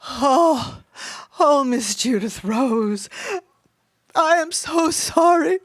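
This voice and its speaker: A woman's voice: a little high, normal speed, no echo, pitched above the performer's own voice. A woman voicing a remorseful, gin-drinking mother, blubbering and overwrought. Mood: tearful remorse.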